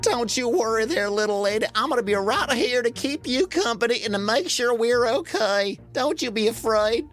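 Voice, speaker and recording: A woman's voice: a little high, lively intonation, normal speed, hardly any pauses, pitched above the performer's own voice, close to an everyday voice. A woman voicing a kind, polite, protective male toy dump truck in a children's story, with a folksy, earnest, courtly manner. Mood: reassuring. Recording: music behind the voice.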